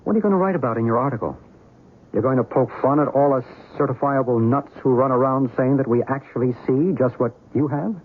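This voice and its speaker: A man's voice: mid-range voice, fast, narrow, tinny audio, close to an everyday voice. An actor playing an earnest, philosophical male believer in otherworldly visitors, persuasive in old-time radio style. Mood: challenging. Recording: noisy, thin old radio recording.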